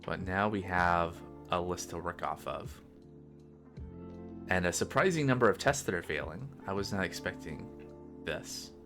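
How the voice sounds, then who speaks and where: A man giving casual think-aloud commentary as a game developer on a coding stream, halting and muttering to himself as he works. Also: sits low, music in the background.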